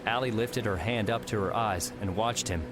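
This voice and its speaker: Low-voiced male audiobook narrator. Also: moderate pace, music in the background. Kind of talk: scripted dramatic story narration.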